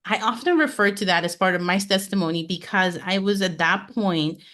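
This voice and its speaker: A woman's voice: on the low side, a bit bright. Earnest woman from Peru sharing her testimony. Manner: earnest, goal-driven, quick storytelling. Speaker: purpose coach and guest. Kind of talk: conversational testimony.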